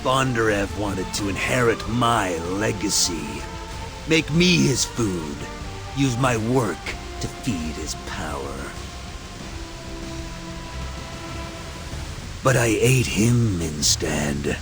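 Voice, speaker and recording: A gloating, low-voiced megalomaniac villain. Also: male voice, expressive. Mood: boastful, menacing. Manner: theatrical, gloating, drawn-out emphasis. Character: gloating megalomaniac male scientist villain, cold and self-satisfied. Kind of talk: dramatic video game villain monologue. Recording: echoey, music underneath.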